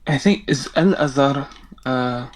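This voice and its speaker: A male caller at a mid pitch speaks politely and hesitantly in a live debate. He often stumbles and restarts as he looks for his words. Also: a little slow.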